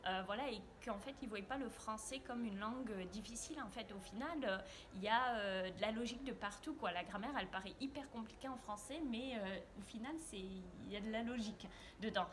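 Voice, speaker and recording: A woman's voice: medium pitch, a little fast. Earnest French woman, hesitant, noisy background. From France. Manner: hesitant, many filler sounds, earnest. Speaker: interviewee, private French tutor. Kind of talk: casual interview answers.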